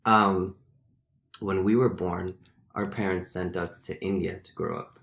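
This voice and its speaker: A man's voice: low voice, fairly even intonation, unhurried, gravelly, fairly bright tone. A young man from the United States whose first language is Gujarati, telling rambling stories in a casual podcast chat. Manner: rambling, self-correcting storyteller. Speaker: podcast co-host.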